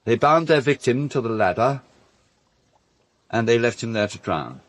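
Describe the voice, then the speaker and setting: An actor playing a grave man recounting a grim wartime story in a radio drama, with measured, deliberate phrasing and dramatic pauses. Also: mid-range voice, expressive, lightly acted.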